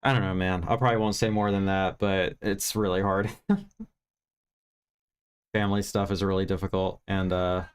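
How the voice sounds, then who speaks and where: A man's voice: low voice, little pitch movement, normal speed, pauses often, smooth. A tired American man getting over stuffy sinuses, chatting casually on a livestream in a rambling, candid, self-deprecating way with some swearing. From United States (Virginia). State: tired, recovering from sinus congestion. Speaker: livestream film review host.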